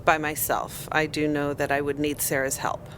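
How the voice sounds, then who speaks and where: A woman's voice: deep voice, flat delivery, normal speed, fairly bright tone, slight background noise. A woman playing a level-headed, probing starship scientist in a tabletop game, matter-of-fact and precise. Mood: practical.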